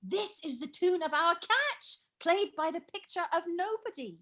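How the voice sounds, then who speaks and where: A woman's voice: very high voice, very animated, normal speed, fairly bright tone, exaggerated voice. A woman playing a tipsy, cowardly, mocking jester who whines in a Shakespearean radio play. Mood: puzzled.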